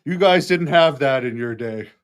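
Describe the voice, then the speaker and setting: A man's voice: mid-range voice, lively intonation, moderate pace, smooth. A man hosting an interview, eagerly chatting and filling in facts. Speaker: host. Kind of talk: casual interview chat.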